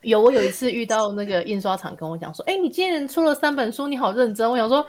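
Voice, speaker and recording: A woman's voice: on the high side, fast, a little mellow, soft background music. A woman with a Taiwanese Mandarin accent chatting casually as a podcast guest, with a wry sense of humour. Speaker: guest, self-publishing writer.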